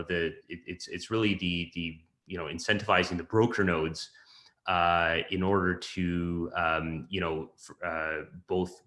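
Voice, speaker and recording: A man explaining technical work as a presenter, haltingly, repeating words and breaking off phrases. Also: low-pitched, bright, forceful tone. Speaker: systems modeler presenting his work. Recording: roomy echo.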